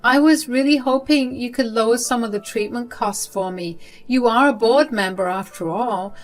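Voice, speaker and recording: A woman voicing a manipulative old grandmother who begs her family for money, in a high wheedling voice. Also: normal speed, soft background music, natural voice. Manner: whiny, wheedling, high-pitched.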